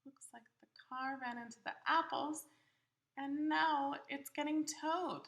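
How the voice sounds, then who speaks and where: A woman reading a picture book aloud to young children, asking them questions in simple, expressive phrases. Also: high-pitched, a little slow. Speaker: children's storybook reader.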